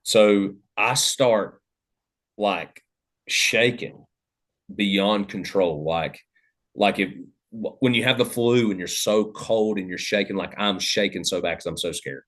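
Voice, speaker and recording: A man, a podcast host telling a hunting story in a loud, animated, cursing way. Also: lowish voice, slow. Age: 30s. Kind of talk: casual storytelling chat.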